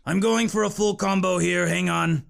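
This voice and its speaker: A man's voice: high-pitched, steady tone, normal speed, creaky, fairly bright tone, heavily acted. Bothersnatch, a man doing a brash little demon. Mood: impatient. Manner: brash, growling, blustery. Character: impatient little purple male demon.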